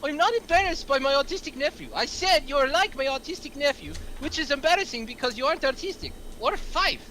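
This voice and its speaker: A woman's voice: sits high, expressive, fairly quick, fairly bright tone. A woman playing an exasperated, foul-mouthed male crook in a script table read. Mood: exasperated, scolding. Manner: exasperated, sweary. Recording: clear background noise.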